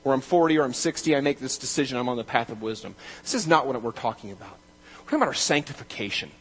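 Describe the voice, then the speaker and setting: Male pastor at mid pitch, preaching emphatically, faint hum behind. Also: animated, rapid-fire, low-quality recording. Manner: emphatic, rising to loud declarations then dropping quiet. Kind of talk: sermon.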